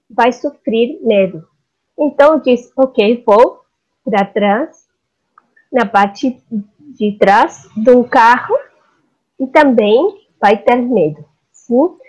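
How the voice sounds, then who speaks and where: Argentine woman speaking careful, halting Portuguese. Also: a little high, darkish tone, dull audio. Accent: Argentine Spanish. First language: Spanish. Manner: hesitant, searching for Portuguese words, frequent "sim?" checks. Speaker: psychologist speaker. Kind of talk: webinar presentation.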